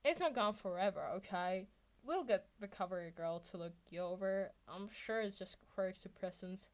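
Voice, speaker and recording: A woman voicing a gruff, protective male teacher and hero who speaks in short, firm phrases. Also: mid-range voice, natural voice. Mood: firm, reassuring. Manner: terse, firm, deliberate.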